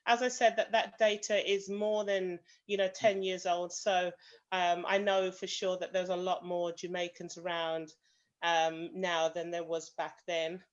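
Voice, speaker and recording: A woman's voice: lowish voice, level tone, a little slow. British woman on a muffled video call. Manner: many "um" fillers, hedges with "kind of". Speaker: association president, panel guest. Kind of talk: prepared talk on a video call.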